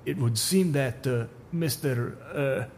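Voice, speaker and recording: A man's voice: mid-range voice, slow pace, darkish tone, a little hiss or hum, voice pushed up. A man voicing a tall male building supervisor with a Russian accent, speaking stiff, broken English. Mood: uneasy.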